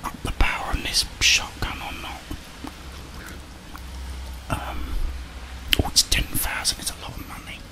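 A man's voice: a little slow, long pauses, rough recording. Whispering young man, casual game commentary. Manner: casual muttering to himself. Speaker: gaming and ASMR video host.

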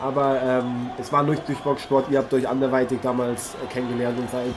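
A man playing an eager, chatty boxing commentator and interviewer in an improvised radio play, polite and halting. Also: medium pitch, monotone, natural voice. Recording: noisy, with arena ambience behind.

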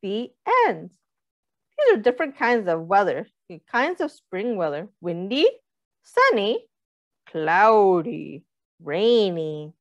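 A woman's voice: mid-range voice, big pitch swings, slow, dry recording, muffled recording. A young woman reading a picture book aloud to small children, expressively, with simple questions and explanations for her listeners. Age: young adult. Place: small indoor room, seated.

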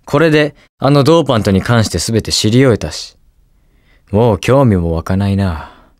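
A man's voice: on the low side, moderate pace, no echo, studio quality, natural voice. A young man playing a cool, inquisitive detective superhero in a video game, with precise, teasing delivery. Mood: smug, analytical. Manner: calm, precise, smug and curious delivery. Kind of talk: Japanese video game voice acting, short lines.

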